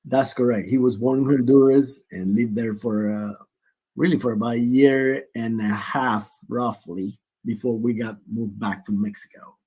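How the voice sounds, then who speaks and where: A man's voice: medium pitch, steady tone, moderate pace, dark tone, husky, poor audio. A man in his forties, a guest on a remote video call who talks at length with many restarts. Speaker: guest, player's father. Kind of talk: casual interview.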